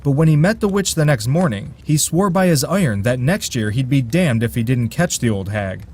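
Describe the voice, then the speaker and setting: A man's voice: mid-range voice, expressive, normal speed, a little mellow, breathy edge. A man narrating a folk tale in a dry, wry way, with asides to his listeners. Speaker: storyteller.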